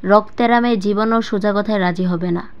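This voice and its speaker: A woman's voice: mid-range voice, steady tone, moderate pace, clear, resonant tone, natural voice. Commanding male minister, brooding and blunt, romance story, voiced by a female narrator, slightly dull recording. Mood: brooding, determined.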